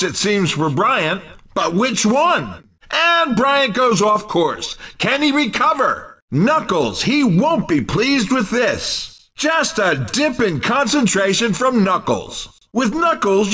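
A man voicing an excitable, cheeky race commentator in a video game, exclamatory and teasing. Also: sits high, takes it slowly, distant mic, full character voice. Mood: excited, teasing.

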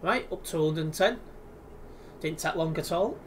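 A man's voice: on the high side, animated, moderate pace, slight background noise. A young man with an English accent, chatting casually and easily while he livestreams a game from home on a headset. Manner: chatty, easygoing, many restarts. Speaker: livestreaming gamer. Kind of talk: casual chat while playing. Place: indoors at home, in front of a computer.

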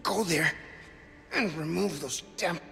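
An actor voicing a cheeky, theatrical Spanish adventurer in a video game, with a teasing, flamboyant manner. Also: male voice, on the high side, slow, vocal fry, pushed and bright, exaggerated voice. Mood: dying, wistful. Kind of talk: video game cutscene acting. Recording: music behind the voice.

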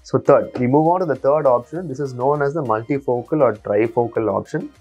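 Guy in his 30s walking patients through eye surgery options, steady and methodical, clip mic in a little office, soft music under it. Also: medium pitch, a little fast, slightly rough, muffled recording. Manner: calm, methodical, steady explainer. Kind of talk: explanatory patient education talk. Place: small clinic office, indoors.

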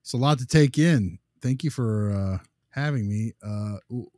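A man's voice: mid-range voice, a little slow, warm tone, no room sound, clean studio sound, lightly acted. A man playing an easygoing behavior consultant in a conversational role-play, casual and reassuring. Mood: friendly, attentive. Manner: casual, reassuring, rephrases what he hears. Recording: music bed under the voice.

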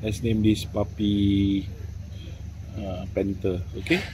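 Deep, slow-talking man vlogging. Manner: relaxed, halting, listing food items. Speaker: travel vlogger. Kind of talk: casual vlog narration. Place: outdoors on a beach island, then indoor buffet. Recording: loud background noise.

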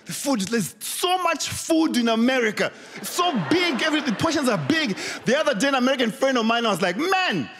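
A young man from Zimbabwe with a Zimbabwean accent, whose first language is not English, doing an animated stand-up set on a big talent show stage with a handheld mic, shouting punchlines and laughing between lines. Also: very high-pitched, fairly quick, few pauses, a little hiss or hum. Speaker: stand-up comedian. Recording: loud music and crowd behind. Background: cheering crowd and show music.

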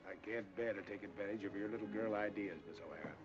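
A cynical, dashing blockade runner, played by a man with a smooth, sardonic, lowish voice and brisk delivery. Dull old film sound, music underneath. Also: close to an everyday voice. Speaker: film actor. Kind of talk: 1930s film acting.

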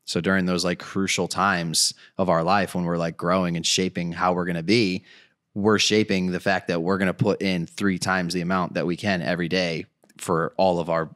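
A man in his thirties hosting a podcast, talking earnestly in a casual pep-talk style with restarts, in a studio at a boom mic. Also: a bit bright, dry recording. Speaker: podcast host. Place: dim studio set with couches. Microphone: boom mic on stand, headphones.

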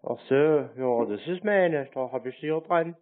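A man with a deadpan, repetitive manner, chatting as if slow to understand while answering calls. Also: medium pitch. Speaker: scam baiter answering calls. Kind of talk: deadpan phone prank chat. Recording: narrow-band phone-like sound.

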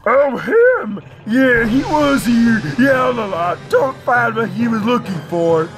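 Someone doing a slow whiny chatty alien shop keeper, pitch goes all over, cartoon. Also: sing-song, music in the background. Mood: wheedling. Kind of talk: cartoon comic dub.

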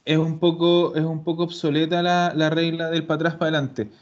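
A man teaching Italian in an online class, patient and explaining slowly, repeating words. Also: a little high, level tone. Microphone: video call. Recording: dull lo-fi call audio.

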